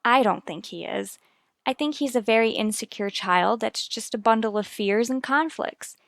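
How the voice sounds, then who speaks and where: A woman's voice: a little high, normal speed, gravelly, a bit bright, dry recording, clean studio sound. An actress playing a worried, earnest young mother in a vintage radio soap opera, confiding in a careful, hesitant way. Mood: anxious, pained.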